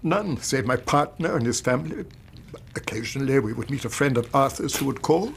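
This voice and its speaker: A man's voice: mid-range voice, animated, fairly quick, creaky, slight background noise. An actor playing a distraught middle-aged Victorian banker and father in a radio drama, formal and agitated, with an English accent. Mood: subdued, worried.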